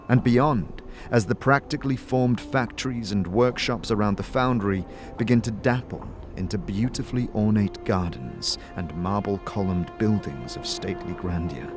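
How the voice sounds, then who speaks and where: A man giving measured, dramatic scripted narration as the storyteller of a fantasy audio drama. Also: on the low side. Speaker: narrator and game master. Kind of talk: scripted second-person fantasy narration and character dialogue. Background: music.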